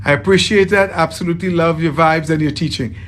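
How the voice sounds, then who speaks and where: A man from Trinidad with a softened Trinidadian accent, chatting casually with viewers on a livestream as a tailor, friendly and often repeating himself. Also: fairly high pitch, steady tone, brisk, a little hiss or hum. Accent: Trinidadian, softened toward American. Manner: friendly, repeats phrases, greets viewers by name.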